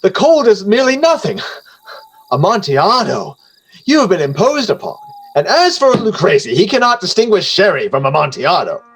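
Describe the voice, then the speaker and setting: An actor playing a drunken, boastful wine connoisseur, pompous and exclamatory, in a theatrical dramatic reading. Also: male voice, high voice, very animated, normal speed, a bit bright, slightly rough, exaggerated voice, rough recording. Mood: tipsy, scornful. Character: tipsy, boastful, excitable male wine connoisseur.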